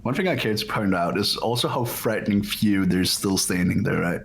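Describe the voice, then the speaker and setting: A man's voice: fairly low pitch, moderate pace, vocal fry, slight background noise. A man chatting casually as a player in a group game playthrough. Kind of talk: casual chat.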